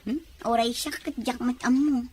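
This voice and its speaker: A woman's voice: on the high side, steady tone, moderate pace, a little mellow, a little hiss or hum, natural voice. A woman playing a protective, cautioning middle-aged mother in a serial drama. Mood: confiding.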